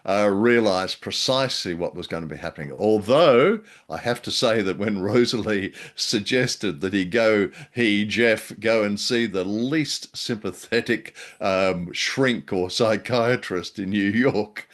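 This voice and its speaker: A man's voice: lowish voice, a little slow, few pauses. A man co-hosting a podcast, chatting casually about an old radio drama in a self-deprecating, hesitant way. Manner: self-deprecating, hesitant, many ums and restarts. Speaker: podcast co-host. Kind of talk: casual review chat.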